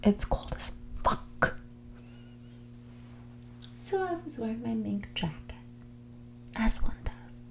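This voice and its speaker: Whispery young rich girl, acted by a woman, snobbish and condescending, slow drawl, creaky fry, ASMR roleplay. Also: on the high side, animated, heavily acted. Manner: snobbish, condescending, drawling, filler-laden valley-girl phrasing. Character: spoiled, haughty rich young heiress on a date.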